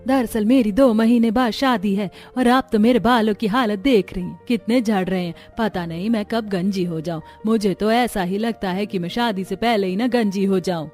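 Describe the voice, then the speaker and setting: A woman's voice: medium pitch, normal speed, hardly any pauses, a little mellow, soft background music, close to an everyday voice. A woman playing chatty young village women in a cartoon story. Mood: chatty, worried.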